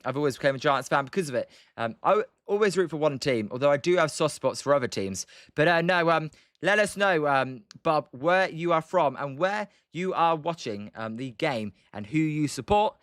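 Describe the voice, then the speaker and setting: A man's voice: on the high side, fairly bright tone, no echo, studio quality. A young British man hosting a live stream, giving casual game commentary between chat replies, rambling with lots of ums and uhs. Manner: rambling, hesitant, heavy on um and uh.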